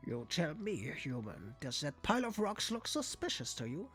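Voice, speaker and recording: Brief: haughty male cat major for a visual novel, played by a man, lively swooping delivery, impatient, music underneath. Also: fairly high pitch, moderate pace. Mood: demanding. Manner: pompous, condescending. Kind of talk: visual novel character read aloud. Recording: music behind the voice.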